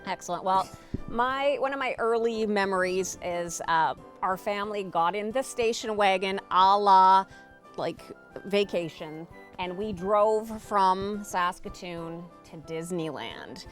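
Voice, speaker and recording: A woman's voice: mid-range voice, lively intonation, measured pace, faint music behind. A woman from Canada telling personal stories casually on a panel show. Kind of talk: casual chat, personal storytelling.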